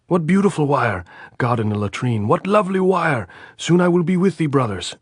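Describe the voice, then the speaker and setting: A man voicing a quick-witted, nervy young Spanish guerrilla who shouts his answers and cracks sardonic jokes. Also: on the low side, fairly even intonation, slightly rough, no echo, clean studio sound. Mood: shouting, wry.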